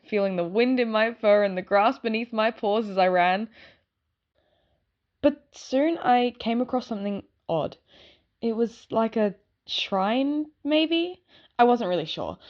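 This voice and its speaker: A woman's voice: mid-range voice, lightly acted. Woman playing an eager werewolf girl, slightly muffled recording. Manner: eager, rambling, informal. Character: excitable dog-like young female werewolf giving her statement. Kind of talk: audio drama acting, first-person storytelling.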